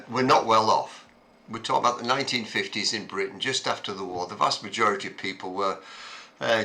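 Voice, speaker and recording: A man, recalling his childhood in an unhurried, reflective way, pausing often with ums and uhs. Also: lowish voice, pushed and bright. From Scotland. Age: 70s. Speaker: interviewee. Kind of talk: reminiscing conversation.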